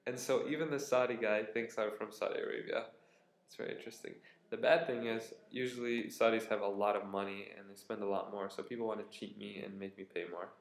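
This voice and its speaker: A man's voice: lowish voice, fairly even intonation, brisk, gravelly. Tired young man rambling in an echoey room. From United States. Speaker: vlogger. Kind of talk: casual storytelling vlog. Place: indoors at a friend's apartment.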